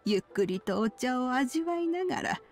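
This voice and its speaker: A woman voicing a kindly old village granny in a Japanese video game, with gentle, reflective, old-fashioned speech. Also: high-pitched, lively intonation, normal speed, soft background music. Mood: wistful, tender. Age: older.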